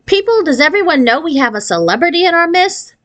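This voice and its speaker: Woman acting a brisk, high-pitched schoolteacher. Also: very animated, exaggerated voice. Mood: delighted announcement. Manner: bright, instructive, authoritative. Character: brisk, beaming woman schoolteacher commanding her fifth-grade class.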